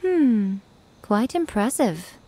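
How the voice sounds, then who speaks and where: A woman's voice: fairly high pitch, sing-song, slow, mellow, slight background noise. A woman voicing an elegant, teasing fox priestess in a video game, with a poised, knowing manner. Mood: intrigued, teasing. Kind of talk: English game dub voice acting.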